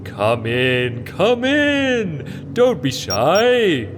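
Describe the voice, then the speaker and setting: A man voicing a huge, pompous, jolly duke with a booming, roaring delivery in an audio drama. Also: wildly swooping pitch, drawn-out words, noisy background, heavily acted. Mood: jovial, delighted. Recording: long hall reverb, music underneath.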